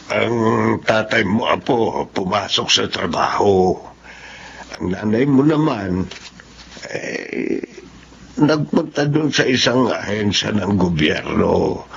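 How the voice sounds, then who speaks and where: An actor playing a gentle elderly grandfather who speaks slowly and soothingly to his grandchild in a radio drama. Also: on the low side, animated, creaky, highs cut off, slight background noise, heavily acted. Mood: reassuring. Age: older. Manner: slow, soothing, tender.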